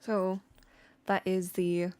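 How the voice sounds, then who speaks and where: A woman's voice: mid-range voice, little pitch movement, slow, dark tone, a little hiss or hum. A woman streamer and singer giving an informal, chatty vocal tutorial, with slangy asides and replies to viewers. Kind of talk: casual livestream tutorial with chat replies.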